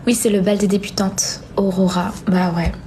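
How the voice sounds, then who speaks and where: A woman's voice: mid-range voice, level tone, moderate pace, warm tone, a little hiss or hum. A young woman hosting a live stream, chatting casually as she reads out and answers viewer comments in an informal, hesitant way, often repeating herself. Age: young adult.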